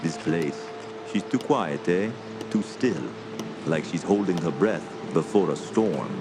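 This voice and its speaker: Slow, brooding French-Canadian bushman. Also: low voice. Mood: ominous, brooding. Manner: ominous, deliberate storytelling. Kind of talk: radio drama acting. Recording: dramatic music behind.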